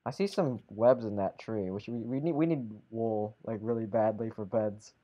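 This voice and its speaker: A man's voice: fairly low pitch, highs cut off. A man playing a video game with friends, rambling and correcting himself as he talks. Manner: rambling, self-correcting, quick exclamations. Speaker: game player. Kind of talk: casual gaming chat.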